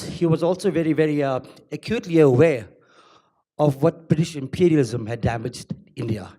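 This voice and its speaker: A middle-aged man sharing personal reflections at a book launch, speaking hesitantly with many pauses. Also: medium pitch, a little mellow. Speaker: audience member sharing a reflection. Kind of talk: unscripted remarks at a book launch.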